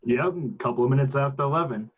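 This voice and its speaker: A man's voice: medium pitch, quick pace, muffled recording, natural voice. A man playing a chummy, obliging studio guard in a 1940s radio drama. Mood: friendly.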